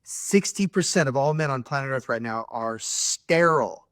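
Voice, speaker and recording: Man, spiritual co-host, earnest and preachy, mid pitch, dry close sound. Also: animated, moderate pace, a bit bright, dry recording. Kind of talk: spiritual talk.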